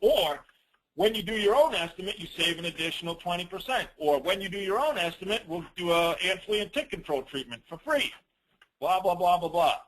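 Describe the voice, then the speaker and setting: A man presenting a business training call as an informal, rambling software demo, with teasing, self-deprecating asides. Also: high voice, animated, fairly quick, low-quality recording.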